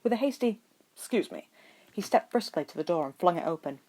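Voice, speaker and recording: A woman's voice: lowish voice, expressive, quick pace, mellow, poor audio. A woman reading scripted fiction aloud as an amateur audiobook narrator.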